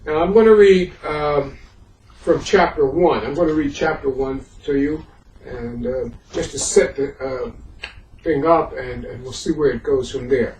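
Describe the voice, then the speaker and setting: A man's voice: fairly high pitch, measured pace, a little mellow. Man reading history aloud in an echoey room. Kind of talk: introduction and audiobook-style reading of a history book. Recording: echoey room, far from the microphone.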